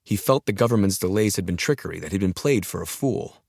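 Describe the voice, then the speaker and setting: A man's voice: low voice, expressive, quick pace, gravelly, a little mellow, no room sound. A man reading a thriller audiobook as a dramatic scripted narration, recorded close in a studio. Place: dry studio, close mic.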